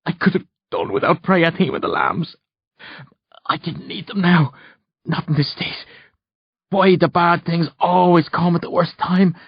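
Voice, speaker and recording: A man's voice: a little high, measured pace, vocal fry, husky, no echo, pitched above the performer's own voice, heavily acted. A troubled, exhausted man as a male audiobook narrator plays him, brooding and earnest. The recording is dry and slightly muffled. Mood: troubled, weary. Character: weary, troubled man shaken after a nightmare.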